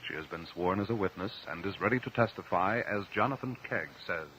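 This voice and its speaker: An American man narrating as a mid-century radio announcer, reading a script with deliberate, dramatic emphasis. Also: low voice, pushed and bright, a little hiss or hum. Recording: dull, lo-fi old radio recording.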